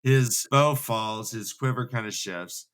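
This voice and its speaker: A man co-hosting a book show, retelling a story in a casual, jokey, slangy and animated way. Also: mid-range voice, slow. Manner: animated and slangy, acting out dialogue in bits. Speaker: co-host of a fantasy book re-read show.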